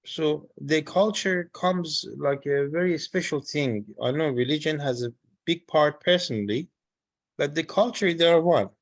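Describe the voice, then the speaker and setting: A man's voice: fairly high pitch, unhurried, no room sound. A man from Iran making a friendly, emphatic comment at an online seminar, with frequent fillers. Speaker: seminar participant. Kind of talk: spontaneous comment.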